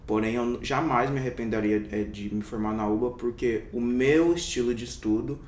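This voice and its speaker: A man's voice: moderate pace, darkish tone, recorded from a distance. Man talking thoughtfully in an echoey room. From Brazil. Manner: reflective, many hesitations and fillers. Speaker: interview guest, doctor. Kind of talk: casual interview. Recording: echoey room, somewhat off-mic, faint rumble.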